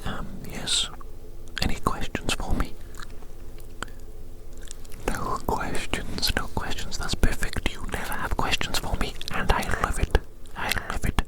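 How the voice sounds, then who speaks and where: A woman whispering in a breathy, unvoiced murmur for an ASMR roleplay, playing a fussy, eccentric doctor who repeats phrases. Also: measured pace, poor audio. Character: fussy, eccentric scientist-doctor measuring a little patient.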